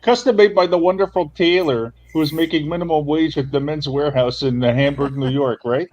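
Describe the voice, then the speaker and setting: Man acting a brash, higher-voiced loudmouth. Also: fairly even intonation, fairly quick, highs cut off, close to an everyday voice. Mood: scornful ranting. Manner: brash, blustering, mock-indignant, repeats himself. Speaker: livestream co-host. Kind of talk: comic insult banter on a livestream.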